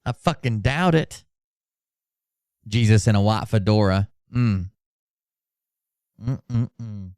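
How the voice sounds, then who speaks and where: Casting: male livestream host, low-key dry acoustic, smooth voice, mocking and sardonic commentary. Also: expressive, slow, long pauses, a little mellow, no echo. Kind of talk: sarcastic reaction commentary with mock-dramatic readings.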